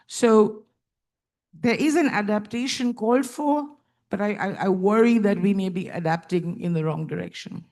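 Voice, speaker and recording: A woman's voice: medium pitch, normal speed, a little mellow. A woman from India speaking as a panelist, earnest and thoughtful, with some fillers as she speaks. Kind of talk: panel discussion remarks.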